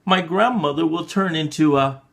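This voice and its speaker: A man reading a story dramatically, with deliberate, clearly phrased and expressive delivery for learners. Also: on the high side, background noise.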